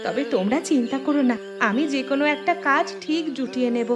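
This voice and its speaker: A woman's voice: high-pitched, fast talker, music in the background, natural voice. A woman voicing a humble but calculating mother in a cartoon. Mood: grateful. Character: humble, calculating mother moving in with her brother. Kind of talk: Bengali cartoon voice acting.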